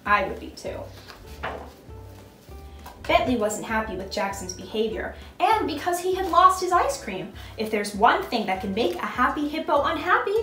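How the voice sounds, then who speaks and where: A woman's voice: high-pitched, sing-song, normal speed, fairly bright tone, soft background music. A young adult woman narrating a children's storybook expressively and putting questions to small children. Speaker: costumed storytime host reading as a princess. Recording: echoey room.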